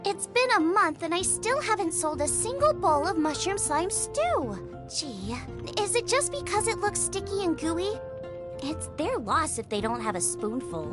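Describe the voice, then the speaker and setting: A woman's voice: extremely high pitch, very animated, measured pace, full character voice. A woman voicing a cheerful, eager teenage girl chef in a video game, chatty and enthusiastic. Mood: let down. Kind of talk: video game character voice lines. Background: music.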